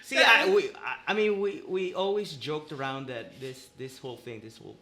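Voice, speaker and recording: A man from the Philippines, hosting a casual podcast chat, animated and quick to laugh. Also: fairly high pitch, slight background noise. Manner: animated, laughing, restarts a lot. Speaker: podcast host.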